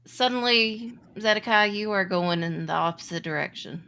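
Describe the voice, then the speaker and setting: A woman game master narrating a tabletop roleplaying game in a halting, deliberate, improvised way. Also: medium pitch, bright, forceful tone, clean tone.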